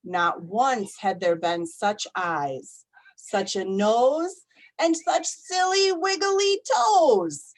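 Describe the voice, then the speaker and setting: A woman reading picture books aloud to young children, expressive and deliberate, often putting questions to her listeners. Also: on the high side, low-quality recording. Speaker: storytime reader for young children. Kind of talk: animated picture-book read-aloud to children.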